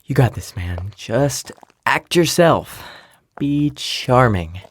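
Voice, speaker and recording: A man's voice: mid-range voice, lively intonation, slow pace, slight background noise. An actor playing a shy, awkward young male newcomer in an audio drama, hesitant and rambling. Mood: nervous.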